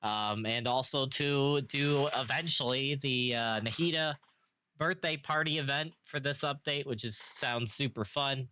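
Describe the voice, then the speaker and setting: A young man streaming a game in casual chat, recovering from illness and slightly raspy, clearing his throat now and then. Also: mid-range voice, sharp tone. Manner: slow, chatty, occasional coughs and throat clearing.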